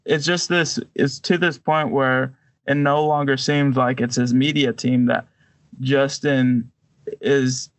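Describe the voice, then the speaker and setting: A man talks casually as a podcast co-host, with noticeable vocal fry in a mid-range voice. His delivery halts and stammers as he works through his opinion. The sound is dry and close. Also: medium pitch, dry recording, low-quality recording.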